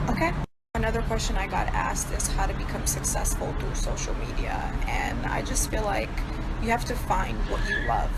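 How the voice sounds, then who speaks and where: Brash, sassy young woman. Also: medium pitch. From New York. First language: Serbo-Croatian. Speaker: beauty influencer. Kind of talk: casual Q and A vlog. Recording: loud road noise.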